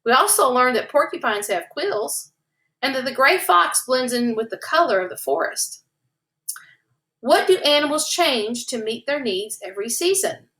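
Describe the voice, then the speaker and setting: A young adult woman teacher reading a story aloud and leading a lesson, speaking in an expressive sing-song. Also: high-pitched, normal speed.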